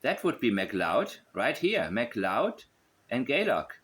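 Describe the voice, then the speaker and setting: A man's voice: mid-range voice, sing-song, slow, a bit bright. Male online host, mid-range voice, unscripted and musing, reasons aloud step by step and often repeats points. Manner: musing, thinking aloud, repetitive. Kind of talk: unscripted commentary while examining a map.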